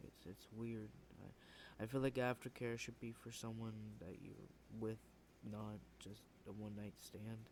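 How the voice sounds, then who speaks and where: Man acting as a young male tsundere student, ASMR roleplay, unhurried, crackly fry, hesitant half-whispers, teasing, intimate. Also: on the low side, level tone, a little mellow. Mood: defensive, awkward.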